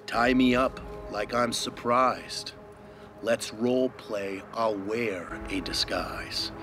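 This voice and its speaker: A man in his thirties giving a deliberate, mock-dramatic reading of rap lyrics. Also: lowish voice, fairly even intonation. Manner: deliberate, theatrical delivery. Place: outdoors among trees. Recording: music under the voice. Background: music.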